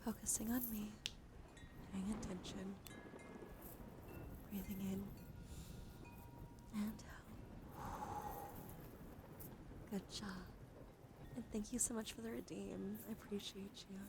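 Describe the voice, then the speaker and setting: Female ASMR streamer: soothing, intimate, partly whispered, fry in the voice, meandering chat, noticeable noise on the recording. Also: mid-range voice, flat delivery, slow, pauses often. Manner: soft-spoken, soothing, meandering with gentle repetition.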